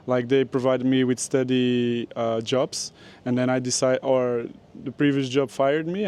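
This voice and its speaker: A young man from Belgium rambles through an answer in a casual outdoor interview. He pauses often as he searches for the right words. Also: medium pitch, darkish tone. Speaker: interviewee, graphic designer.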